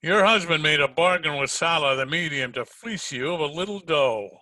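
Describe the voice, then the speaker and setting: An actor playing a tough, hard-boiled private detective in 1940s radio drama style, sardonic and slangy. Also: male voice, fairly high pitch, expressive, normal speed, sharp tone, no echo. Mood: cool, accusing.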